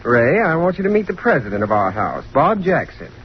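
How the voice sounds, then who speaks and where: A man's voice: fairly high pitch, sing-song, fairly quick. An actor playing a genial, glib young fraternity brother in an old-time radio drama, breezy and slangy in his talk. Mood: genial, welcoming. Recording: muffled, noisy old radio recording.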